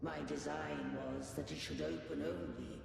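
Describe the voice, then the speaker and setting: Ancient, gravelly, echoing guardian. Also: male voice, very deep voice, wildly swooping pitch, a little slow, background noise, heavily acted. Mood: solemn, foreboding. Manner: archaic, grave, stately speech. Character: ancient solemn male guardian of a fallen civilization. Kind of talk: dramatic video game voice acting. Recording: long echoing reverb, music underneath. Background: game music.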